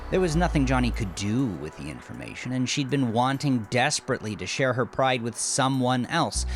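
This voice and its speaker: A man reading scripted horror fiction as narrator, in a measured, solemn and deliberate manner. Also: mid-range voice.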